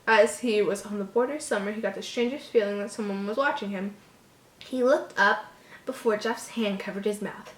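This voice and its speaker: A woman's voice: mid-range voice, moderate pace. A woman reading a horror story informally, stumbling over words and breaking off into amused, incredulous asides. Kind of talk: informal story read-aloud with joking asides. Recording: roomy, echoing home recording.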